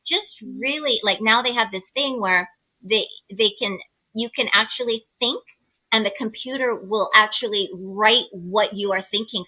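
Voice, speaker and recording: A woman's voice: mid-range voice, husky, narrow, tinny audio. A woman speaking as a guest in casual explanatory chat, with an enthusiastic manner. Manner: enthusiastic, animated phrasing. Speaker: astrologer, interview guest.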